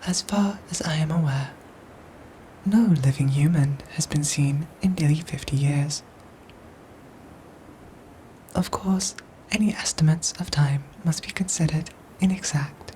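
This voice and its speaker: Low, whispery female android soldier, acted by a woman. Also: slight background noise, close to an everyday voice, low-quality recording. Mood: formal, matter-of-fact. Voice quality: soft, breathy, whispery. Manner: measured, formal, robotic phrasing with careful pauses. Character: calm, protective female android soldier, formal and gentle. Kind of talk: ASMR audio roleplay acting.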